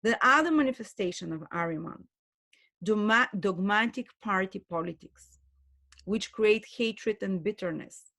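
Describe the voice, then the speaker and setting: A woman giving an earnest spoken lecture as a spiritual teacher, pausing and restarting her phrases often. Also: mid-range voice, expressive, sharp tone. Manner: earnest, with frequent restarts and hesitations.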